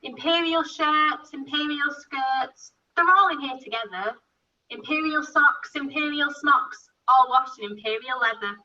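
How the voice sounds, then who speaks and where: A woman's voice: high-pitched, a little slow, bright, forceful tone, heavily acted. A woman playing a chatty, good-natured young laundry lad who narrates a rhyming pantomime, on a lo-fi recording. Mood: matter-of-fact. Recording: dull lo-fi sound.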